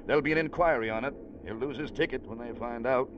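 An actor playing an earnest ship's officer telling a sea story in an old-time radio drama, in a conversational way. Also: male voice, mid-range voice, quick pace, noisy background, close to an everyday voice. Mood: concerned, explaining. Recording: muffled vintage radio recording.

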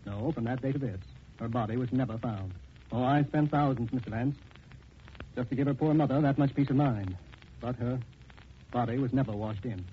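A man acting as a distraught middle-aged widower in an old radio play. He speaks haltingly and earnestly at a medium pitch, on a dull recording with light hiss. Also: natural voice. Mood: grieving. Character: anxious, grieving wealthy middle-aged widower.

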